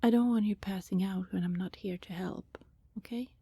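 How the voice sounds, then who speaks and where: A woman playing a friendly, teasing vampire neighbour in an intimate ASMR roleplay, chatty and casual, with a soft breathy voice that often slips toward a whisper. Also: medium pitch, fairly even intonation, normal speed, no echo. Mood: shy, warm. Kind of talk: ASMR roleplay, intimate one-sided conversation with listener.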